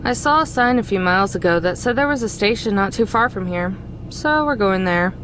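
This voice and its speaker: A woman's voice: mid-range voice, animated, fairly quick, noisy background, close to an everyday voice. A woman playing a reserved, reluctant young driver in a visual novel, speaking in a guarded, understated way. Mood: guarded, cool. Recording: game dialogue with music behind.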